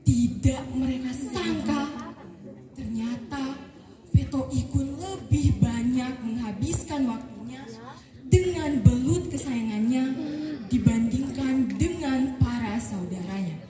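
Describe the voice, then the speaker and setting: A teenage girl from eastern Indonesia telling a folktale in a slow, careful, sing-song storytelling voice. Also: sits high, recorded from a distance. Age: teens. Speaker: student storyteller. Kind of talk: folktale narration. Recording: echoey room, voice set back from the mic. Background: music playing behind the voice.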